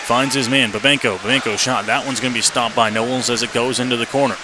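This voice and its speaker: A man's voice: mid-range voice, fast talker. A man doing live radio play-by-play of an ice hockey game in clipped, running phrases, as the announcer. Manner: clipped running phrases, rising to excited calls on shots. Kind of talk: live radio sports commentary. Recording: noisy live arena radio feed.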